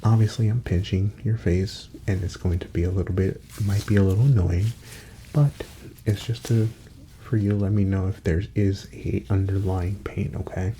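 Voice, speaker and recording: A man doing a soft-spoken ASMR doctor roleplay, gently reassuring as he gives unhurried instructions, often slipping into a breathy whisper. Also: sits low, steady tone, a little hiss or hum, poor audio. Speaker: ASMR performer playing a doctor.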